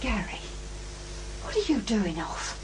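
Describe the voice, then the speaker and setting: A woman's voice: medium pitch, sing-song, slow pace, slight background noise, poor audio. An actress playing a flustered, homely working-class mother of two in an audio horror drama, chatty and easily alarmed. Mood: scolding.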